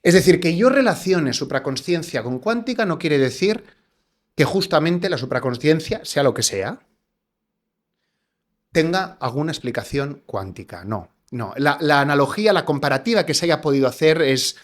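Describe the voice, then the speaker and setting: Male guest, somewhat high voice, hesitant explainer on a podcast mic. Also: lively intonation, normal speed. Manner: hesitant, many fillers and rhetorical tags. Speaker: scientist guest. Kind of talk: explanatory interview talk.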